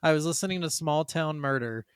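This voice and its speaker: A man's voice: a little high, a little fast, clean tone, no room sound, clean studio sound. A man co-hosting a casual gaming podcast, chatting and reading from notes with frequent casual swearing. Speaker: podcast co-host. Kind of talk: casual video game podcast chat, partly reading from notes.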